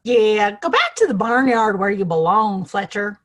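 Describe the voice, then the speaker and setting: A woman acting a defiant, sharp-tongued saloon woman in a western audio play, full of mocking jibes. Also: medium pitch, very animated, moderate pace. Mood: scornful.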